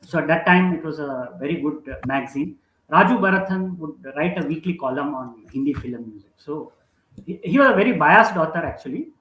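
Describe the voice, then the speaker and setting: A man with a slightly higher voice reminisces as a guest in a casual panel discussion. His speech is hesitant and full of pauses, and the recording rings with a roomy echo. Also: lively intonation, a bit bright.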